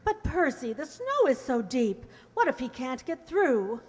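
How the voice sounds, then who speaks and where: A woman's voice: high-pitched, sing-song, a little slow. A woman playing a gushing, fluttery aristocratic lady in an old-time radio mystery style. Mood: anxious, flustered. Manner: effusive, fluttery, theatrical. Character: excitable, gushing aristocratic lady fretting as hostess. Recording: echoey stage hall.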